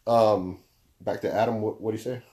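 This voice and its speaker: A young man with a deep baritone, chatting casually as a podcast co-host. His voice is low and mellow, and he is laid-back, swearing freely while working through long explanations. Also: fairly even intonation, normal speed.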